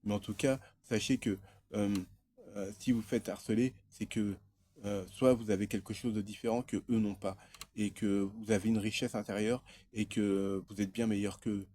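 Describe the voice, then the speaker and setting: A man's voice: fairly low pitch, flat delivery, moderate pace, dark tone. A man in his thirties from France, talking in a rambling, hesitant personal monologue full of fillers and restarts. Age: 30s. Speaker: vlogger.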